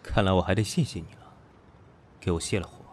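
A man's voice: sits low, fast talker, darkish tone, slight background noise, lightly acted. An actor playing a proud, defiant businessman with a curt, sarcastic way of talking in an audio drama. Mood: sarcastic, cold. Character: proud, sharp-tongued businessman, defiant reluctant male lover. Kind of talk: Chinese audio drama acting.

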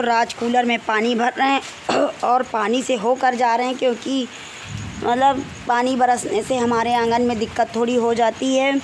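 A woman in her forties with a Kanpur Hindi accent, hoarse and husky, chatting in a rambling way into a phone held close in a small room at home, clearing her throat often. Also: on the high side, a little hiss or hum. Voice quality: husky, strained voice. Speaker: vlogger. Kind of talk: casual home vlog. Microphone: phone camera held close, selfie framing.

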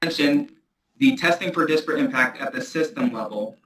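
A man presenting a technical analysis at a transit board meeting over a video call, measured and procedural. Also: medium pitch, echoey room, crowd noise, poor audio. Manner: measured, procedural, restarts often. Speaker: transit agency staff presenter.

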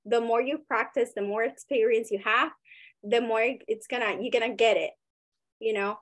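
A woman's voice: on the high side, fairly even intonation, moderate pace, fairly bright tone. A young woman with a light Cuban accent, a guest broker talking casually and expressively on a video call from home. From Cuba.

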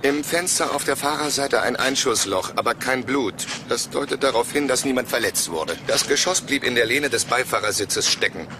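An actor playing an eager, overly correct police sergeant, formal and deferential, in a German crime audio drama. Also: male voice, mid-range voice, animated, moderate pace, few pauses, background noise, natural voice. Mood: officious. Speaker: detective sergeant.

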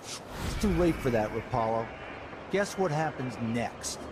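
A man's voice: mid-range voice, animated, a little slow, natural voice. A man voicing a corrupt, threatening guard in a video game, taunting as he speaks. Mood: menacing. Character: menacing corrupt guard punishing an informer. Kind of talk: game cutscene dialogue. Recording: loud ambient noise.

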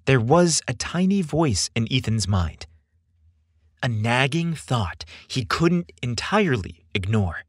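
A man narrates fiction in a theatrical, deliberate way. His delivery is slow and very animated in pitch, with a husky edge, recorded dry and close. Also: no echo. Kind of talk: scripted dramatic story narration.

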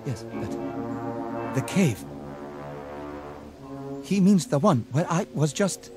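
A scared confused lieutenant, slow and stammering, radio play with music behind him. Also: male voice, a little high, lively intonation. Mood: uneasy, probing. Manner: halting, stammering. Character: confused, frightened army lieutenant, shaken and pleading. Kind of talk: radio drama acting.

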